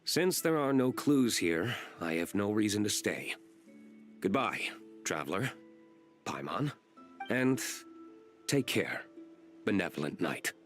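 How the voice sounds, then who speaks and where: A man's voice: fairly low pitch, big pitch swings, slow, long pauses. An actor playing an aloof, composed young nobleman in a video game, reserved and formal in his delivery. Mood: curt. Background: game music.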